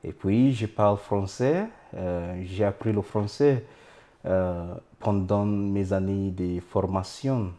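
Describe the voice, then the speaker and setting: A man from Nigeria, Idoma by first language, answers as a guest priest in a studio. His voice is low and mellow, and he speaks slowly. He pauses often with "euh" as he builds each answer. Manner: halting, with frequent "euh" pauses. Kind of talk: interview answers.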